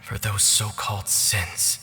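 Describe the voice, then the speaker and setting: A man voicing a solemn, resolute young prince of a dark order in a video game, speaking formally and with command. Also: fairly low pitch, little pitch movement, takes it slowly. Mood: determined, commanding. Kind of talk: video game cutscene voice acting. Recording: long hall reverb, music behind.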